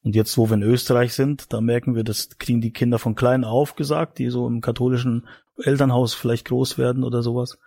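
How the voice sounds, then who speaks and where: A man in his forties from eastern Germany with a husky voice, casually telling his life story as a podcast guest in a studio. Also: medium pitch, level tone, brisk, mellow, dry recording. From Mecklenburg-Vorpommern, eastern Germany.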